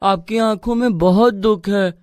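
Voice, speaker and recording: A woman's voice: mid-range voice, a little slow, darkish tone, no room sound, clean studio sound, lightly acted. A woman voicing a kind, innocent young village boy in a storybook tale, speaking earnestly.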